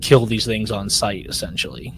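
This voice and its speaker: A man's voice: on the low side, normal speed, faint music behind. A man co-hosting a podcast in casual discussion, thinking aloud and often checking his points with a questioning tag. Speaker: podcast co-host. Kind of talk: casual discussion recapping a drama episode.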